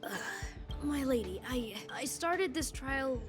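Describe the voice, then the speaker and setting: A woman's voice: sits high, lively intonation, takes it slowly, over music. A woman playing a timid, dutiful young male servant in a video game, speaking hesitantly and deferentially with frequent stammers. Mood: sheepish, reluctant.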